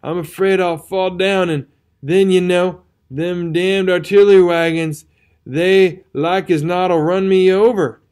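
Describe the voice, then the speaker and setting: A man's voice: sits high, steady tone, measured pace, a little mellow, smooth, voice pushed up. A man reading a storybook, voicing a frightened, wounded young male soldier who begs his friend in a rustic drawl. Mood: fearful. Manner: rustic drawl, begging and shaky.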